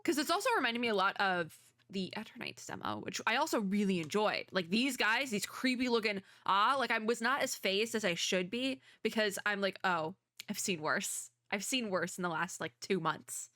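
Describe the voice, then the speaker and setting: A woman doing casual reaction commentary as a VTuber, chatty, expressive and slangy. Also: mid-range voice, moderate pace, no room sound, studio quality.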